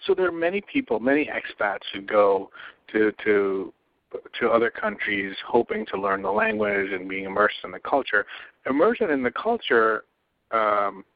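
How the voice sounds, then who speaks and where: A man from Pakistan hosting an interview, framing his questions haltingly with restarts and fillers. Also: mid-range voice, big pitch swings, gravelly, no room sound. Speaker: host. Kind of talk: casual interview talk. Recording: dull lo-fi sound, dry.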